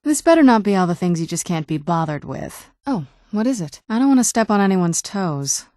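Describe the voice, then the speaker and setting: A woman's voice: medium pitch, big pitch swings, a little fast, dark tone, no room sound, natural voice. A woman playing a guarded, wry vampire noblewoman in a fantasy video game, talking in a dry, understated, conversational way. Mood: dry, impatient. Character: guarded, wry ancient vampire noblewoman who looks young. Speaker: adventuring companion character in a fantasy video game. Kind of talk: video game dialogue acting.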